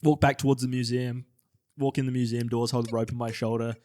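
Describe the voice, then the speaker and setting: An Australian man improvising a comedy bit, his speech full of awkward pauses and fillers. His pitch sits in an ordinary middle range. The delivery sounds unsure and a bit sheepish. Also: little pitch movement, mellow. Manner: awkward, hesitant, lots of fillers. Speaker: podcast co-host. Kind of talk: improvised comedy role-play.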